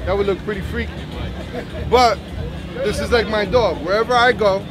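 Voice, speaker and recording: A man's voice: sits high, moderate pace, music in the background. A man in his thirties, a bomb squad officer, chatting and explaining his gear to a crowd at an outdoor community event with many restarts. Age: 30s. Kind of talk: casual demonstration to a crowd.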